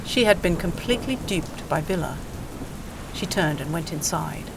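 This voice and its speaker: A woman's voice: low voice, expressive, moderate pace, vocal fry, very noisy. A woman reading scripted dramatic narration for an audio play.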